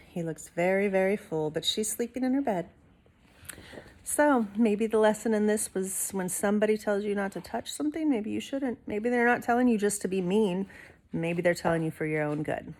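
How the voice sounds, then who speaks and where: A woman's voice: medium pitch, a little mellow. A woman reading a storybook aloud expressively to young listeners, with casual asides like a teacher.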